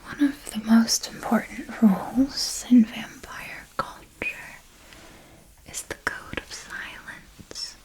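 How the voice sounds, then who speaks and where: A woman voicing a seductive, menacing elder vampire mentor in a breathy, deliberate ASMR whisper, with possessive, condescending affection. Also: a little high, fairly even intonation, darkish tone, rough recording. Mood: solemn, instructive.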